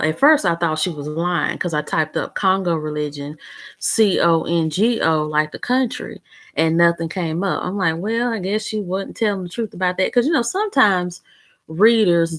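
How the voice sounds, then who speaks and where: A young woman talks straight to her viewers in a loose, rambling way, stringing thoughts together with "um" and "you know." Her voice sits a little low for a woman. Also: fairly bright tone. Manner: chatty, rambling, conversational storytelling with fillers. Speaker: vlogger. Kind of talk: casual chat.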